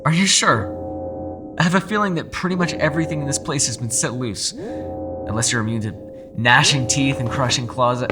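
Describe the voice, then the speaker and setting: A man voicing a proud, gentlemanly captive yokai in a dramatic ASMR roleplay, articulate and courteous yet demanding, speaking directly to the listener. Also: a little high. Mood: urgent, protective. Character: captive male yokai, gentlemanly, proud, protective telepathic prisoner. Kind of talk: ASMR audio roleplay, dramatic character acting.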